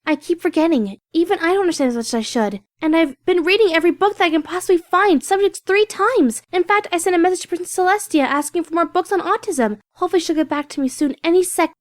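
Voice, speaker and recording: A woman's voice: extremely high pitch, fast, hardly any pauses, dry recording, studio quality. A woman voicing an earnest, bossy, bookish young female pony in a storybook, instructive and emphatic. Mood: apologetic, flustered. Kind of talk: audio storybook character acting.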